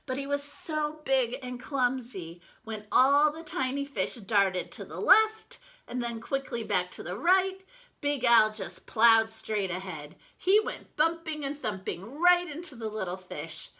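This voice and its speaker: A woman reading a children's picture book aloud like a teacher, expressively, with deliberate dramatic emphasis. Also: a little high, very animated, pushed and bright.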